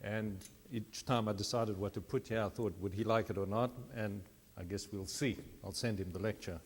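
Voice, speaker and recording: A man's voice: lowish voice, steady tone, moderate pace. A middle-aged man giving a public mathematics lecture, conversational with self-deprecating asides. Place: lecture hall. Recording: reverberant hall.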